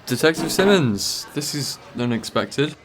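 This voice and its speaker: A man's voice: on the high side, lively intonation, measured pace, background noise. A man playing a defensive, uneasy office worker under suspicion in a radio play, speaking hesitantly and guardedly. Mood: uneasy, polite. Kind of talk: student radio drama acting. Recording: cafe crowd chatter behind.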